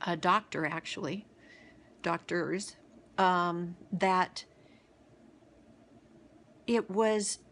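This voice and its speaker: A middle-aged woman telling a reflective personal story as host of a daily video series, halting often, with pauses and restarts. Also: on the low side, fairly bright tone.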